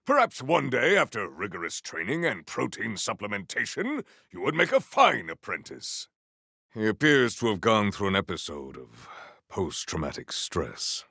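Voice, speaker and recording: A proud video game warrior with a low, gravelly voice and stately, formal phrasing. He speaks slowly and deadpan, yet swings widely in pitch with grandiose flair. The sound is dry and close. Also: dry recording, full character voice. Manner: formal, stilted, grandiose diction. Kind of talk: scripted video game character lines.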